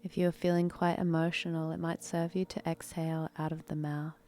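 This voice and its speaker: A woman leading a guided meditation in a soft, breathy, unhurried, soothing manner with long pauses. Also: sits low, little pitch movement.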